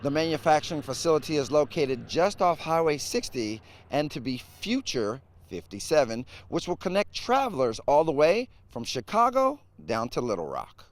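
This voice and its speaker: A man's voice: fairly high pitch, sing-song, moderate pace, hardly any pauses. A man with an American accent delivering scripted broadcast news as an anchor and field reporter.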